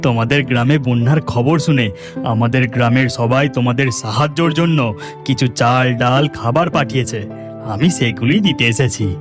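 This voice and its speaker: A man's voice: medium pitch, moderate pace, over music, natural voice. A man voicing a humble, kindly village boatman in a cartoon, friendly and reassuring in manner.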